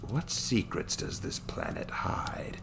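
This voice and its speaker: A man voicing an arrogant, sneering male warrior villain in a story, with contemptuous, drawn-out muttering. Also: noisy background, natural voice. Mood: intrigued, menacing.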